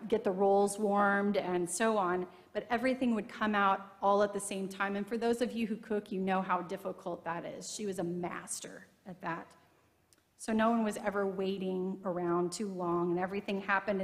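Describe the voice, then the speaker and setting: A middle-aged woman preaches from a pulpit microphone, telling stories in an easy conversational way. Her voice sits at a mid pitch. It rings with the long reverb of a church sanctuary. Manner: conversational storytelling from the pulpit, gesturing. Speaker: pastor. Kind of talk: sermon.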